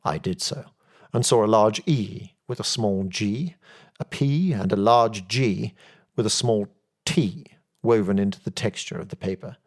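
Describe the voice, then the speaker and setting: A man narrates a classic story as an audiobook reader. His voice is fairly low, with a distinctly husky texture. The delivery is scripted literary narration. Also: measured pace.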